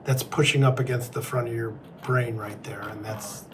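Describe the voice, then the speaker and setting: A man working as an ear, nose and throat doctor, calmly explaining an x-ray to a patient. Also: mid-range voice, normal speed, noisy background.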